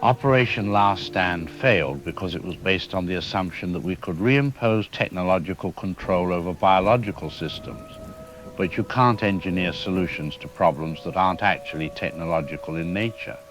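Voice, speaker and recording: A man playing a weary, resigned scientist in an apocalyptic audio drama, giving a measured, academic monologue on a dull lo-fi recording. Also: low-pitched, faint music behind, lightly acted. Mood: resigned, subdued. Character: weary, resigned male scientist surviving the apocalypse, solemnly analytical.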